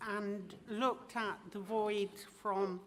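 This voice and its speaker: Slow, hesitant woman councillor. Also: medium pitch, steady tone, slight background noise. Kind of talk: council meeting statement.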